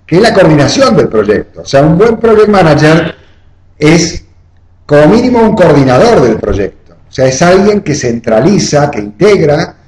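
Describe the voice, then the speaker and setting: A man's voice: on the high side, very animated, moderate pace, a little mellow. A man giving an online class lecture, explaining step by step and often checking in with "¿eh?". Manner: explanatory, often adds "o sea" and "¿eh?". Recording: roomy echo.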